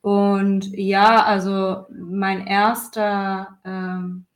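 A woman's voice: mid-range voice, level tone, extremely slow. A woman from Iran, a musician and guest, speaking thoughtfully with frequent fillers. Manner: thoughtful, fillers, varying pace. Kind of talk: casual interview talk.

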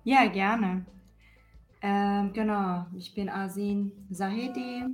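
A woman's voice: mid-range voice, steady tone, slow, soft background music. A woman from Iran, a musician and guest, speaking thoughtfully with frequent fillers. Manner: thoughtful, fillers, varying pace. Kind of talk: casual interview talk.

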